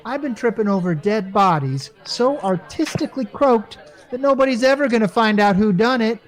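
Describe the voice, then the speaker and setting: A man plays a worn-out, wisecracking working stiff in a radio comedy. He talks in a high-pitched voice, full of slang and exasperated sarcasm. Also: expressive, normal speed, a faint crowd. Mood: weary, irritated. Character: weary, wisecracking working-class male repairman.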